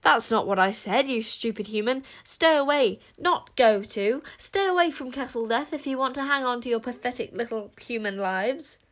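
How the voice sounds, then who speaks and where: A woman's voice: high-pitched, very slow, voice pushed up. A woman voicing an urgent, exasperated male werewolf who growls, roars and grunts.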